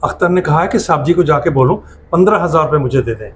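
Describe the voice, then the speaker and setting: A man acting as a sly, glib con man who flatters his victim in an amateur moral skit. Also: a little high, a little hiss or hum, natural voice. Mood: pleading flattery. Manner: glib, deferential to his victim, self-congratulating.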